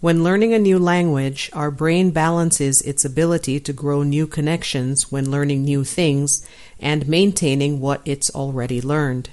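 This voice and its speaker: A voice reading a science news article aloud in an even, neutral, scripted way. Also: medium pitch, measured pace. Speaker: article audio narrator.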